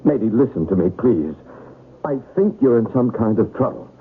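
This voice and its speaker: A man's voice: mid-range voice, sing-song, moderate pace, narrow, tinny audio, lightly acted. A worried, earnest professor of a husband, played by a male actor in an old radio play. His mid-range voice presses insistently for answers. The recording is thin and faintly noisy. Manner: earnest, urgent. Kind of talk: old-time radio drama acting.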